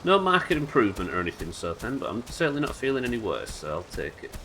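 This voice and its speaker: A man with a nasal voice, a little hoarse from illness, chatting wryly and swearing casually while streaming a game live. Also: medium pitch, sing-song, quick pace, a bit bright, slight background noise. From United Kingdom. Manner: chatty, wry, swears casually, muttering asides. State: sick, slightly hoarse.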